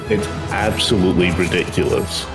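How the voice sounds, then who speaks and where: A man with a very deep voice chats casually during gaming. The talk is relaxed in wording and conversational. Game music plays clearly in the background. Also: moderate pace.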